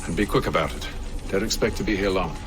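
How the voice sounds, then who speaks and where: An actor playing a preoccupied gentleman master in a period video game, clipped and commanding. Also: low-pitched, fast, natural voice. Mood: curt. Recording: music behind the voice.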